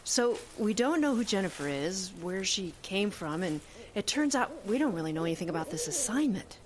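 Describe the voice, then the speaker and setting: A woman's voice: medium pitch, very animated, normal speed, a crowd in the background, lightly acted. An actress playing a nervous young woman on an unclear assignment, stammering and hesitant. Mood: uneasy. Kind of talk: audio drama acting.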